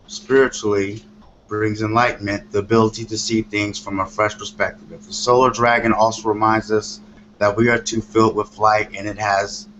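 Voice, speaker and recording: A low-pitched man gives a tarot reading on a call-in show. He speaks haltingly, pausing as he moves between explaining and reading out meanings. The sound is slightly muffled, like an internet call, with faint noise. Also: a bit bright. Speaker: guest tarot reader. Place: remote online call on a talk show.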